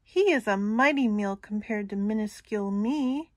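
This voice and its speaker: A woman's voice: mid-range voice, moderate pace, dry recording, clean studio sound, close to an everyday voice. A woman voicing a small, polite young billy goat pleading to pass.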